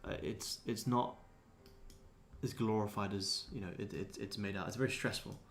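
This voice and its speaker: A man's voice: lowish voice, steady tone, normal speed, creaky, a bit bright, soft background music. A man in his twenties chatting casually as a livestream host answering viewers, laid-back, blokey and sweary. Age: 20s. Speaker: livestream host, day trader.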